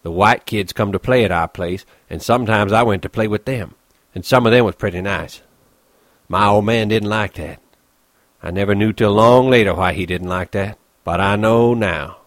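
A man voicing a bitter, lonely older ranch hand who talks gruffly in rough rural dialect. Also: lowish voice, normal speed, no echo, lightly acted. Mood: brooding.

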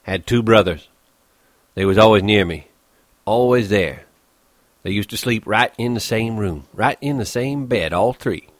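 A man acts out a lonely stable hand for an audiobook. He is bitter and scornful, brooding in plain rural ranch talk. The voice sits fairly low in a dry, close recording. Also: moderate pace, dry recording, lightly acted. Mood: dreamy.

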